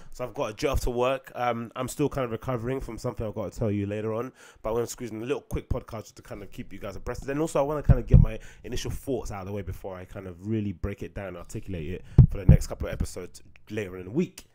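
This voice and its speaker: A young man with a London accent and a slightly raspy voice chats casually to his listeners. He rambles, throws in slang and the odd swear word. He speaks close to a boom mic in a small home room. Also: steady tone, rapid-fire, few pauses, background noise. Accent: London English. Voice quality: raspy today. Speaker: podcast host and DJ. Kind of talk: casual solo podcast chat. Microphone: studio mic on boom arm close to mouth.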